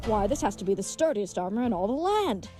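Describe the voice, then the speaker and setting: A woman's voice: medium pitch, very animated, a little fast, dark tone, soft background music, close to an everyday voice. Woman playing a gallant, pompous, earnest leathersmith knight. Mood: bold, boastful. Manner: pompous, flowery old-fashioned speech. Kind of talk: comedic audio drama acting.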